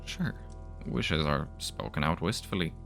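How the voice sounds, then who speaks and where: A man's voice: extremely low pitch, animated, measured pace, vocal fry, over music, exaggerated voice. A man voicing an uneasy, learned priest who argues in formal, rhetorical phrases for a fantasy horror story. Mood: reciting. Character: Elias, guilty priest, uneasy but argumentative and learned.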